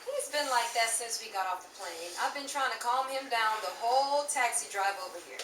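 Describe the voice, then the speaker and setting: A woman playing an eager, excitable young tagalong in a 1930s-style radio drama, chatty and animated. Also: a little high, brisk, natural voice. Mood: explaining. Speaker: cast member of an audio drama. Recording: noisy echoey room, mic at a distance.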